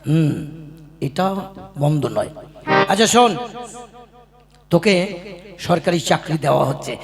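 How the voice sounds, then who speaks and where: Male actor as a high, rough-voiced cartoon king, exasperated and bossy. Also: lively intonation, measured pace, music in the background. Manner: exasperated, lordly scolding. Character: grumpy, puzzled male puppet king. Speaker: king in a puppet show. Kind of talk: comic puppet show acting.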